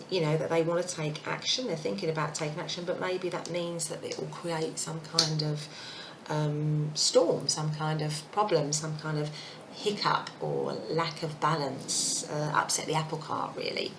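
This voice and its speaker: A woman giving a casual tarot reading, thinking aloud with many fillers, her voice croaky and husky. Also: deep voice, fairly even intonation, a little slow, noisy background. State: croaky voice, clearing throat. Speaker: tarot reader.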